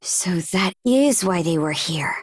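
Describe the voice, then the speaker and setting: Resolute young warrior, close and dry. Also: female voice, lowish voice, lively intonation, slow pace, slightly rough, dry recording, heavily acted. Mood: serious. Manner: terse, businesslike. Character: resolute, no-nonsense young female Norman warrior. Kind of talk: video game cutscene acting.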